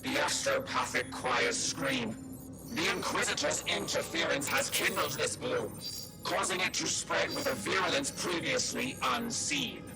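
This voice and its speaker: A man playing a dutiful officer on a sci-fi warship in a video game, formal and solemn, with a creaky voice. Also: high-pitched, huge pitch swings, measured pace, full character voice, low-quality recording. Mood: grave. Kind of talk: dramatic video game voice acting. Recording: loud music under the voice.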